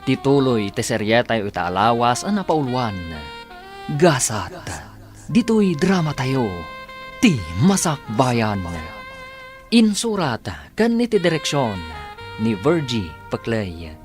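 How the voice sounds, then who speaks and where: A man narrating a radio drama as announcer, measured and dramatic. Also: medium pitch, sing-song, over music.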